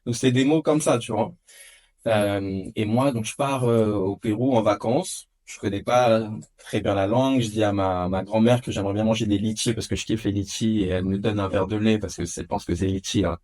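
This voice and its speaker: A man in his thirties from France, a podcast guest telling stories of his youth in a casual, animated way with many hesitations. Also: a little fast. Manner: animated, lots of filler hesitations. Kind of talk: casual storytelling. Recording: dull low-fidelity podcast audio.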